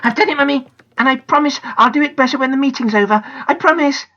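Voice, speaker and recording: A woman voicing an excitable young schoolgirl, high and pleading, in a slightly dull recording. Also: fast talker. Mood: eager excited.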